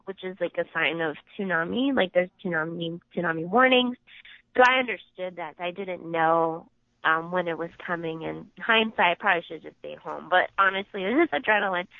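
A woman whose first language is Japanese, a Navy nurse, speaking in a lowish voice and telling her story at an easy, conversational pace with plenty of ums. She comes through a narrow, phone-like line. The sound is dry, with no room around it. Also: dry recording. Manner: conversational, many ums, recounts calmly. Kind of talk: recounting experiences in an interview.

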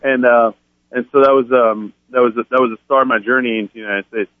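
A man's voice: mid-range voice, fairly even intonation, fairly quick, no echo. A man in his thirties from France, telling his story earnestly as a radio guest, with many restarts. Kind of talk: phone-in interview. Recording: tinny phone line.

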